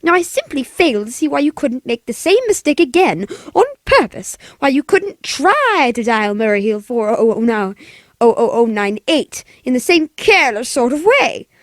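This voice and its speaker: A man's voice: extremely high pitch, big pitch swings, normal speed, a bit bright, no echo, studio quality, exaggerated voice. A man voicing a demanding, hysterical wealthy invalid woman in an old radio play, imperious and exasperated. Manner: imperious, exasperated, breathless urgency. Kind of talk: 1940s radio play acting.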